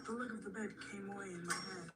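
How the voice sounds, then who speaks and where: A man's voice: sits high, steady tone, fast talker, lightly acted. A young performer playing a frightened, defiant boy in a radio horror drama, narrating and acting with urgency. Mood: fearful narration. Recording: distant, loud background noise.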